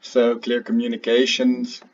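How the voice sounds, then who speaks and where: A man speaking briefly and practically, a little halting, in a group video call meeting. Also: mid-range voice, completely flat delivery. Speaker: project group member. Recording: distant, muffled video call audio.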